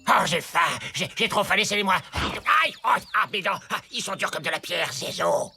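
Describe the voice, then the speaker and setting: A man's voice: sits high, normal speed, gravelly, full character voice. A man voicing a hungry, grumbling cartoon coyote in a whiny, dramatic way. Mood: desperate, pained. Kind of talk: animated cartoon dialogue. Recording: very loud music behind.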